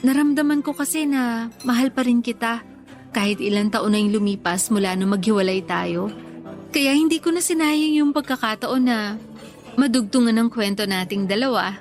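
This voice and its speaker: A woman's voice: a little high, normal speed, soft background music, natural voice. An actress playing an insecure but devoted young woman in love in a radio drama. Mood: tender, happy. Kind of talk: Tagalog radio drama acting.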